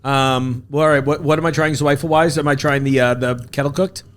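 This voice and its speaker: Male host, medium-pitched, conversational and jokey, for a casual food-tasting show. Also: steady tone, brisk. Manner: joking, chatty. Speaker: podcast host.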